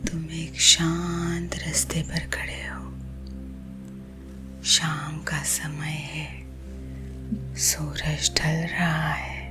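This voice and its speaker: A woman with an Indian accent guiding sleep hypnosis in a husky, breathy ASMR whisper, gentle and soothing. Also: low-pitched, completely flat delivery. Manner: slow, soothing, gentle, hushed. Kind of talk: whispered ASMR guided visualisation. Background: soothing music.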